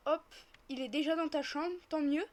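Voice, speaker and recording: Female, high voice, casual game roleplay host, chatty running commentary. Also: fairly even intonation, moderate pace. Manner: chatty, narrates own actions as they happen. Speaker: host of gaming roleplay videos.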